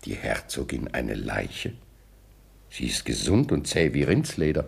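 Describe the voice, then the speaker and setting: A man's voice: a bass voice, sing-song, unhurried, vocal fry, husky, exaggerated voice. An actor playing a stern, lecturing older father in a radio play. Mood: alarmed. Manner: stern, lecturing, turns horrified.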